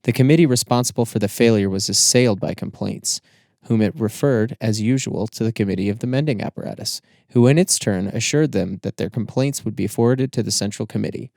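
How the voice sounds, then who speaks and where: A man narrates a literary audiobook in a measured, formal way. His voice is fairly low with plenty of gravelly creak, and the sound is dry and close. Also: darkish tone, no room sound.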